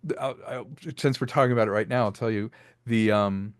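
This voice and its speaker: A man's voice: lowish voice, steady tone, a little fast, smooth, no echo. Enthusiastic man, dry close mic. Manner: enthusiastic, explains with many restarts and voiced asides. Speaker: director and sound designer. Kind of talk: casual interview chat.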